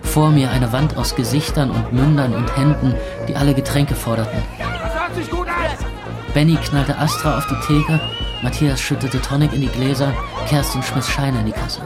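A man's voice: mid-range voice, moderate pace, close to an everyday voice. An actor playing a jaded club owner in his twenties, narrating a radio drama in slangy, dramatic first person. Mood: wired, excited. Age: 20s. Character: jaded, frazzled 23-year-old music club owner. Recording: loud club music and crowd behind.

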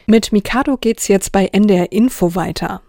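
A woman's voice: medium pitch, moderate pace, dark tone, dry recording. A woman presenting a children's radio programme in a scripted style, close to a studio microphone.

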